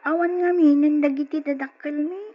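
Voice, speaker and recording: A woman's voice: high voice, steady tone, normal speed, dull audio, natural voice. A woman playing a young homeless girl, a protective and pleading big sister, with an Ilocano accent in an old radio drama.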